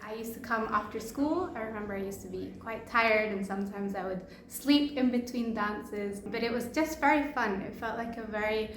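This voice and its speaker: A woman's voice: on the high side, moderate pace, reverberant, slight background noise. A woman from Peru, a club member, speaking in a documentary interview and laughing easily.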